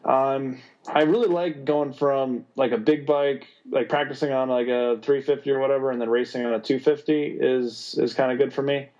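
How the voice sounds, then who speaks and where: A man in his twenties answering interview questions, halting and thoughtful. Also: mid-range voice, steady tone, a little mellow. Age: 20s. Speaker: guest racer. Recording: dull lo-fi sound.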